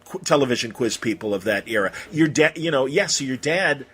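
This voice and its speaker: A man's voice: mid-range voice, lively intonation, brisk, a bit bright, slightly rough. A man interviewing in a casual, informal way, rambling and effusive as he goes. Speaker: podcast interviewer.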